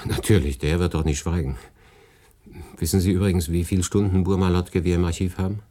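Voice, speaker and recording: A middle-aged radio boss, ironic and picky, low soft-edged voice, radio play. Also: male voice, steady tone, brisk. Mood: wry, curious. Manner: dry, ironic, exacting. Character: sardonic older male radio department head, dryly paternal. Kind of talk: German radio play acting.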